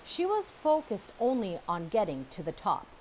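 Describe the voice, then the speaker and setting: Female storybook reader, lively pitch swings, deliberate dramatic pacing, faint hiss behind the voice. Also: poor audio. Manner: expressive, measured storytelling with dramatic pauses. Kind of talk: children's audiobook read-aloud, scripted narration.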